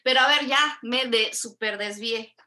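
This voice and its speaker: A woman's voice: on the high side, unhurried, pushed and bright. A woman from Mexico, hosting a casual interview and rambling animatedly with plenty of self-corrections. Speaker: host.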